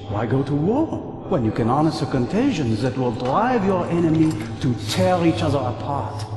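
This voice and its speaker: Grandiose mad scientist villain, echoing. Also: male voice, a little high. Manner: theatrical, menacing monologue. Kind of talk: video game cutscene acting. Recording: echoey reverberant hall, loud music behind.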